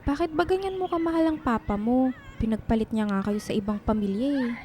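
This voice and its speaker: A woman's voice: on the high side, fairly quick, mellow, natural voice. A woman playing a teasing, supportive young best friend in radio drama dialogue, chatty in manner. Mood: nosy, caring.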